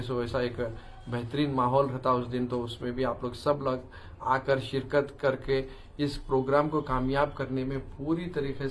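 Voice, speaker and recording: A man in his forties with a Deccani Urdu accent, giving a halting public message mixed with English phrases. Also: medium pitch. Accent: Deccani Urdu of southern Andhra Pradesh. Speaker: local politician. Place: small crowded office room indoors. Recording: room noise in background.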